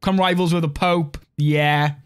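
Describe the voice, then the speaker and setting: A man's voice: a little high, measured pace, clean tone, soft background music. A young man from Wales, casually commentating his strategy game live and chatting with viewers, rambling and filling his talk with "like.". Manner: relaxed, rambling, heavy use of "like". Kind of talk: live-stream gameplay commentary, reading chat.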